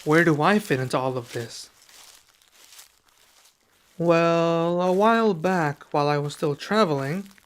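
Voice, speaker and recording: A man playing a soft-spoken young dragon adventurer. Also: on the high side. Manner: soft-spoken, measured, with pauses. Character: young male dragon adventurer, serious and guarded. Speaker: ASMR roleplay performer. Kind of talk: ASMR roleplay.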